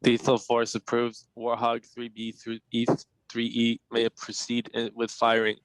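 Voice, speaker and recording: A military droid pilot voiced by a man, utterly monotone and obedient. The delivery is clipped and emotionless, in a smooth, clean tone suited to a sci-fi audio drama. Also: medium pitch, measured pace, no echo, natural voice, rough recording. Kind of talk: audio drama table read.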